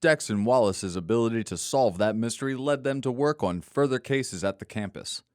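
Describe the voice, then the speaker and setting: A man's voice: mid-range voice, moderate pace, a little mellow, no echo, studio quality. A man narrating a scripted mystery audio drama as its storyteller.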